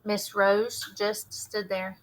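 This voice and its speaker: A woman narrating a children's novel aloud as a teacher would, in an expressive storybook style. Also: medium pitch, slow pace.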